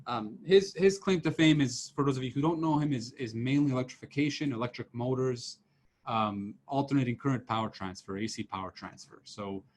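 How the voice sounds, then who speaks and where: A man's voice: medium pitch, a little fast. Man, 30s, from Serbia, professor, casual seminar talk, video call mic, frequent fillers and restarts. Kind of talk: informal lecture over video call. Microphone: computer mic on a video call, camera off.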